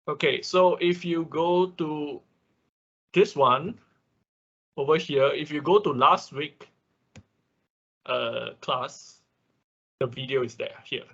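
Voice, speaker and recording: A man's voice: mid-range voice, gravelly, fairly bright tone, husky, dull audio. A man working as an instructor in an online class, answering questions in a hesitant way, often checking with short confirmations. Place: online class over a computer call.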